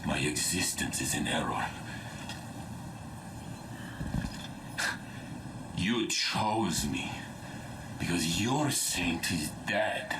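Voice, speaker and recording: An actor playing a troubled, solemn robot warrior in a video game, halting and grave, doubting his own existence. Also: low voice, expressive, noisy background, heavily acted. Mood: despairing. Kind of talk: video game cutscene acting. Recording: game audio under loud music.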